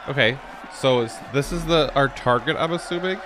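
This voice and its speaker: A man's voice: medium pitch, normal speed, a faint crowd, a little hiss or hum. A man hosting a gaming channel, giving casual, sarcastic commentary on cutscenes as he plays. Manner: animated, sarcastic interjections.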